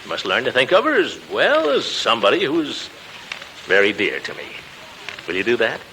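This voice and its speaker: A pompous, flustered middle-aged uncle in a 1940s radio comedy. His bright voice swings wildly up and down as he blusters and hesitates fondly. The old recording carries faint noise. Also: medium pitch, huge pitch swings, normal speed, low-quality recording. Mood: fond, fatherly.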